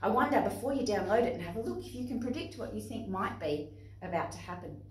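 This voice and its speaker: A woman with an Australian accent presenting to young children and parents in an expressive, instructive way. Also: medium pitch, quick pace. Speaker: playgroup facilitator and presenter. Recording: echoey room, distant microphone.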